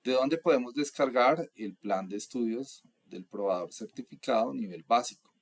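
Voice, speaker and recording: A young adult man from Colombia, explaining course material in a steady, methodical lecture. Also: medium pitch, moderate pace. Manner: steady, methodical, lists items one by one. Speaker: instructor.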